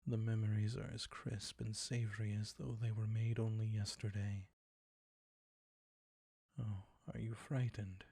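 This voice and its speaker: A man playing a suave, predatory ancient vampire count in an intimate roleplay audio drama, soft and breathy, courtly and condescending. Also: on the low side, little pitch movement, dry recording, studio quality, heavily acted. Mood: menacing relish. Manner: courtly, condescending, slow and savoring.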